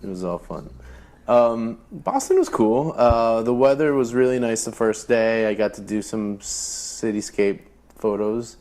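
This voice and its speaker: A man's voice: mid-range voice, lively intonation, unhurried, darkish tone. A man on a podcast, chatting casually and rambling with many fillers, a bit congested from a cold. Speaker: podcast co-host. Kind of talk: casual chat.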